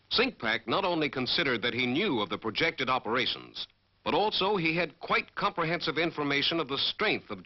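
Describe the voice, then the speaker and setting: A man with an American accent giving formal, measured, scripted military narration as the narrator of a training film. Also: medium pitch. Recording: dull 1940s film soundtrack.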